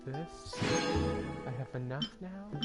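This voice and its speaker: Slow-paced man gaming over loud music. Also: mid-range voice, expressive. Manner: rambling, thinking out loud. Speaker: gamer, video host. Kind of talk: casual gameplay commentary. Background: loud video game music.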